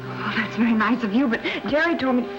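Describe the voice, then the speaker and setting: A woman's voice: a little high, a little fast, music in the background, lightly acted. A woman playing a nervous but persistent wife and amateur sleuth, polite and hesitant, in an old radio mystery. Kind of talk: 1940s-50s radio mystery acting. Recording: dull, noisy old radio recording.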